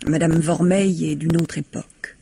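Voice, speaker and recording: A woman playing a defensive, indignant wife under police questioning in a radio mystery, with a breathy, husky voice and haughty manner. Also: low voice, steady tone, moderate pace, dark tone, full character voice. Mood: evasive. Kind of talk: French radio mystery drama acting.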